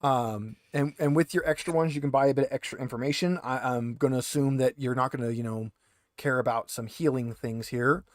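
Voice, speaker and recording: A man's voice: medium pitch, brisk, dry recording, studio quality. A man speaking casually as the game master of an online tabletop roleplaying game. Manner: casual, conversational.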